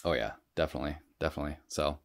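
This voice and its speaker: A man's voice: very deep voice, steady tone, racing, vocal fry. A man co-hosting a podcast in casual chat, with informal, laid-back phrasing. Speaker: podcast co-host.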